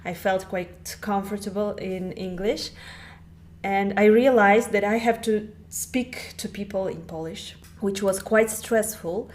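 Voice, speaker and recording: A woman speaks English as a guest on a podcast. She explains things carefully and at a measured pace, in a voice of middle pitch. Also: a little mellow. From Russia. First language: Russian. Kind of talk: podcast interview.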